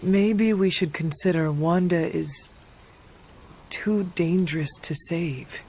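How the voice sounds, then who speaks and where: Slow, earnest, stoic warrior. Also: female voice, fairly low pitch, darkish tone, a little hiss or hum. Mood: sombre concern. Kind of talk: dramatic video game acting. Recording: music behind.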